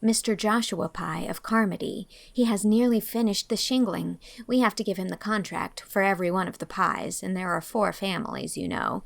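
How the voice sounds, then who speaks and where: Woman playing an earnest, gravelly-edged young woman. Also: mid-range voice, moderate pace, hardly any pauses, dry recording, lightly acted. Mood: bright, chatty. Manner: earnest, expressive, gently scolding. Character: earnest, dreamy, reproachful red-haired young woman. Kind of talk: audiobook character acting.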